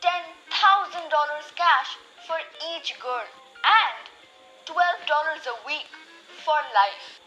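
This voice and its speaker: A woman's voice: high-pitched, slow pace, pushed and bright, muffled recording, faint music behind, full character voice. A young woman acting in a radio drama as a brash, eager 1920s newspaper reporter. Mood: eager, punchy. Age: teenager.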